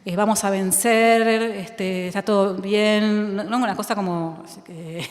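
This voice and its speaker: A woman's voice: medium pitch, steady tone, measured pace. A woman writer from Argentina with an Argentine accent, speaking reflectively in a public conversation, thinking aloud with many fillers and restarts and frequent laughter. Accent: Argentine Spanish. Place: school auditorium with audience. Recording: reverberant hall.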